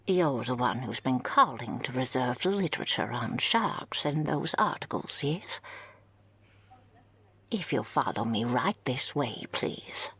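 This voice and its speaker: Woman doing a kindly old librarian lady, really deep and raspy, folksy and doting, audio roleplay. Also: lively intonation, no echo, full character voice. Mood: helpful, gentle. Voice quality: husky, creaky. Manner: unhurried endearments, gently formal and folksy. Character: kindly older female librarian, helpful and doting. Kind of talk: scripted audio roleplay acting.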